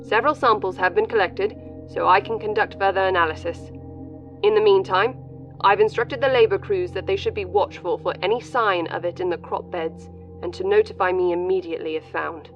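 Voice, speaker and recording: An actress playing a cautious colony doctor in an audio drama, speaking in a measured, clinical way. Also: female voice, mid-range voice, close to an everyday voice. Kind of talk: audio drama log narration. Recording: dull lo-fi audio, faint music.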